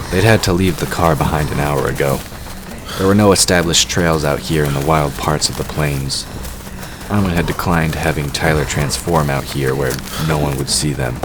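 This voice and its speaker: A man's voice: rumbling low, noisy background. A man reading scripted narration for a fantasy audio drama.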